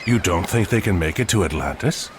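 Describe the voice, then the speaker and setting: An actor playing a bold, decisive mythic war hero in a game cutscene, speaking with authority. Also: male voice, deep voice, expressive, brisk, a little hiss or hum. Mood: calm. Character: bold, commanding Greek-myth war hero admiral. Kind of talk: dramatic game cutscene acting. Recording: faint music behind the voice.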